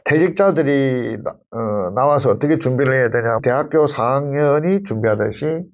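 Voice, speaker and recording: A middle-aged man giving conversational career advice in an emphatic, instructive way, repeating key phrases, with a husky, gravelly voice. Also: mid-range voice, moderate pace, dull audio. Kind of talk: conversational advice to camera in an interview.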